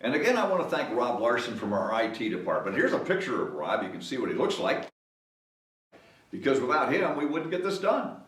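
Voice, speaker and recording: A man's voice: mid-range voice, very animated, brisk, fairly bright tone. A man hosting story time, reading expressively to children and chatting casually between passages. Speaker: story time host and reader. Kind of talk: expressive children's read-aloud with casual asides. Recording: echoey room.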